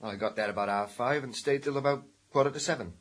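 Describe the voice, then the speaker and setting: An actor in a radio crime drama playing a defiant, cagey, middle-aged ex-convict, slangy and defensive. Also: male voice, medium pitch, fast talker, natural voice. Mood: cagey, matter-of-fact. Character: defiant, cagey male ex-convict, street-hardened armed robber.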